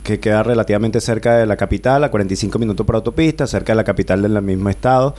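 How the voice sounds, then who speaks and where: A man's voice: fairly low pitch, racing, darkish tone. A Venezuelan man in his thirties, a guest in a radio studio, telling his story at length with many fillers and restarts. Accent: Venezuelan Spanish. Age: 30s. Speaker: guest, bicycle traveler. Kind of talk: relaxed radio interview.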